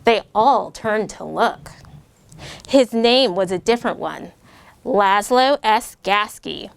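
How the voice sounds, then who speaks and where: A middle-aged American woman, a military officer, reading a children's picture book aloud with lively, animated delivery and voiced character lines. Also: mid-range voice, takes it slowly, creaky, clean tone. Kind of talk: storybook read-aloud.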